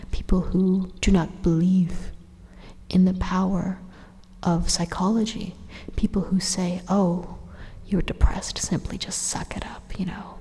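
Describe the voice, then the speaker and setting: Woman as breathy, slow-talking evil AI. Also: lowish voice, warm tone, exaggerated voice. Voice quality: breathy, half-whispered. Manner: slow, deliberate, menacing, condescending. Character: sinister, condescending AI supercomputer turned evil. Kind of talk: whispered ASMR roleplay monologue. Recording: reverberant hall-like echo.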